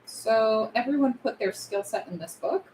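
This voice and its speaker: Female reader for defiant microbiologist; pointed delivery, slightly high, lively pitch. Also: normal speed, a little hiss or hum, close to an everyday voice, poor audio. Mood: curious, skeptical. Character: Quinn, defiant, sharp-tongued woman microbiologist among survivors. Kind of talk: script table read.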